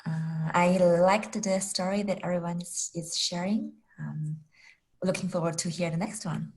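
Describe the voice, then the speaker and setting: Slow, hesitant woman greeting on a call. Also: on the low side, fairly even intonation, a little mellow, rough recording. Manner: hesitant, careful speech. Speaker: audience member. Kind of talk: brief greeting. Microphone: video call.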